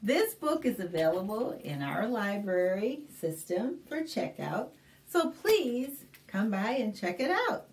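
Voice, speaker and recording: A middle-aged American woman hosting a storytime, reading a picture book aloud to young children in an expressive, deliberate way with simple explanations. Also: medium pitch. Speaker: children's librarian and storytime host. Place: small indoor room, plain backdrop.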